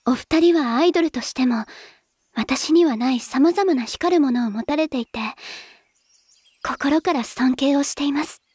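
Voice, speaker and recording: A woman playing a modest, soft-spoken teenage girl idol who speaks very formally in an anime drama. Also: high-pitched, moderate pace, no room sound, lightly acted. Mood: humble, grateful. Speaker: voice actress in character. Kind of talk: anime audio drama dialogue.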